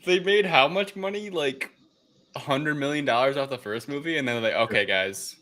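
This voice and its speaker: A man's voice: fairly high pitch, normal speed, sharp tone. A man co-hosting a casual film discussion in an informal, sardonic way. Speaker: podcast co-host. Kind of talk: casual chat, film review.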